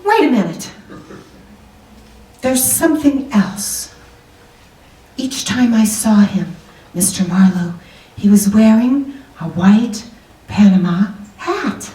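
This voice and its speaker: A woman's voice: mid-range voice, animated, slow, long pauses, dark tone. A woman playing an anxious, genteel young wife in 1940s radio drama style, polite, fretful and hesitant. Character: anxious, genteel young married woman seeking a detective's help. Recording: roomy, distant microphone, music behind.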